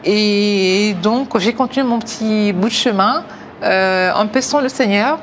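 A woman in her thirties from Africa, giving a personal faith testimony hesitantly, with many pauses. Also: medium pitch, a little hiss or hum. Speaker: testimony speaker.

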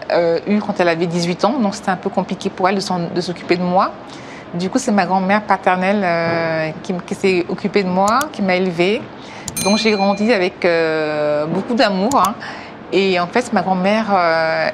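A woman in her thirties from Africa, giving a personal faith testimony hesitantly, with many pauses. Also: medium pitch, background noise. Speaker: testimony speaker.

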